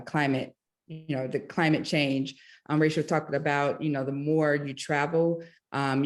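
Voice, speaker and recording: A woman sharing thoughts in a group discussion, rambling and leaning on fillers, over a video call. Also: a bass voice. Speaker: meeting participant. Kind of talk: casual discussion.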